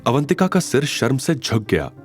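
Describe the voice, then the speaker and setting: Male narrator, audio drama, lively melodic delivery, soft music underneath. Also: mid-range voice, normal speed. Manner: expressive storytelling.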